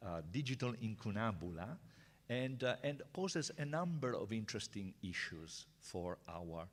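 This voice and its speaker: A man's voice: on the low side, very animated, normal speed. An older man with an Italian accent gives a university seminar lecture, hesitant and chatty, with many fillers and restarts. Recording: reverberant lecture hall.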